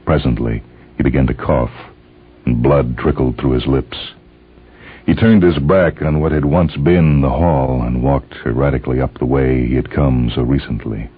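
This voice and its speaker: A man's voice: rumbling low, fairly even intonation, moderate pace, slightly rough. A man narrating a scripted dramatic story. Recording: muffled phone-like band, faint music behind.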